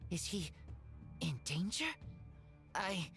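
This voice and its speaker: A loyal feral boy in a video game. The voice is low, and the words come extremely slowly in halting, simple fragments over faint music. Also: a bit bright. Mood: worried, resolute. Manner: halting, broken simple speech.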